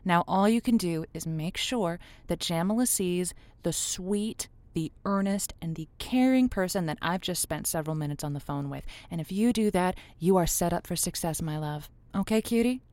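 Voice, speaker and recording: A woman playing an affectionate, encouraging call-in advice host, folksy and halting, in a semi-improvised audio drama. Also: lowish voice, darkish tone, no room sound, natural voice. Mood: affectionate, reassuring. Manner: folksy, halting, reassuring, pet names. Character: encouraging, affectionate female lonely-hearts radio show host.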